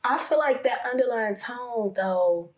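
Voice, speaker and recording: Hoarse female host, casual roundtable talk, reflective, halting delivery. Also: on the high side, expressive. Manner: reflective, searching for words. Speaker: podcast host.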